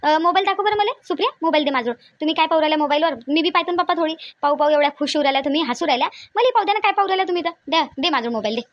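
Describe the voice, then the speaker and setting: A woman's voice: high-pitched, very fast, few pauses, lo-fi sound, close to an everyday voice. A woman voicing a bossy, suspicious older mother-in-law in a cartoon family skit, insistent and repetitive, with a Vidarbha Marathi accent. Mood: suspicious, demanding. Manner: scolding, insistent, repeats her demands.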